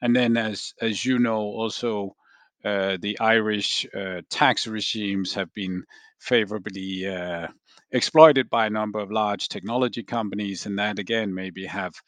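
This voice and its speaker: Danish man explaining haltingly, close dry sound. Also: lowish voice, fairly even intonation, fairly bright tone, no echo. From Denmark. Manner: halting, repeats words as he thinks. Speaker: research analyst, interviewee. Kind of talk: explanatory discussion.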